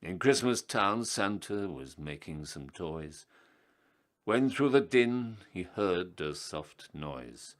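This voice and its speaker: A man narrating storybook verse theatrically, with measured rhymes and strong emphasis. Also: low voice, expressive. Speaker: storyteller, town crier.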